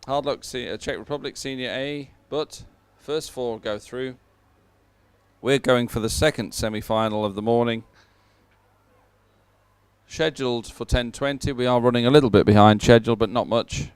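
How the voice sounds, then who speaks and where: A man presenting live sports coverage in a clear, resonant voice of average pitch. He speaks off the cuff, pausing as he goes through results and schedules. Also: fairly even intonation, no room sound. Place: open-air commentary position.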